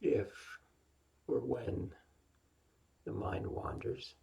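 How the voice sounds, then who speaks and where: A man leading a guided yoga nidra meditation as the teacher, speaking gently and unhurriedly with long pauses in a husky, breathy, gravelly voice. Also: medium pitch.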